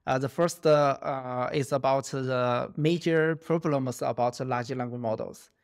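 Man, Chinese native speaker, thirties, halting speech full of fillers, video call. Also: mid-range voice, steady tone. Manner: halting, many fillers and restarts. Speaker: guest researcher. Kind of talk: interview answers. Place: home office.

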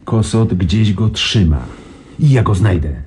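A grim, dark-voiced security man. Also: fairly low pitch, expressive, unhurried, husky, exaggerated voice.

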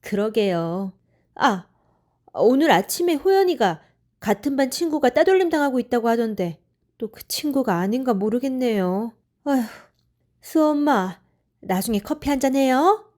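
A woman narrating a scripted audio drama and voicing the mother, with a soft, measured, sorrowful reading. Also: on the high side, expressive. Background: faint music.

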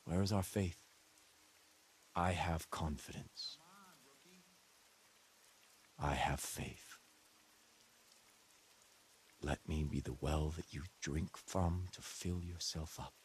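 An intense, messianic cult leader preaches to his flock in a dramatic game sermon. He builds his points through deliberate repetition and rhetorical questions. Faint rain and hiss sit behind his voice. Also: a bass voice. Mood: solemn, fervent.

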